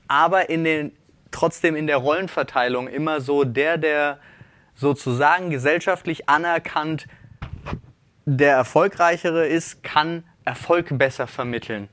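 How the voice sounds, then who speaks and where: Male podcast co-host, slightly high register, dry sound, casual discussion style with hesitant, self-correcting explanations. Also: a bit bright, no room sound. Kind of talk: casual discussion among friends.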